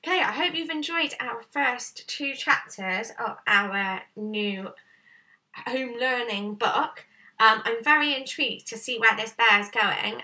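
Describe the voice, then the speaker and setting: Female storybook reader, thirties, teacherly, expressive delivery, recorded at some distance from the mic. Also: a little high. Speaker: teacher reading to pupils. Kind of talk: storybook narration read aloud. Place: small bright room indoors at home. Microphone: camera a short way off. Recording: slightly distant camera microphone.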